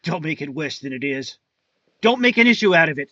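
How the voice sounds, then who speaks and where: An actor playing a volatile, jealous young husband in a 1950s-style radio crime drama, with tense, clipped delivery. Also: male voice, fairly high pitch, very animated, fairly quick, bright, forceful tone, no echo, muffled recording, heavily acted. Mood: jealous anger. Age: young adult.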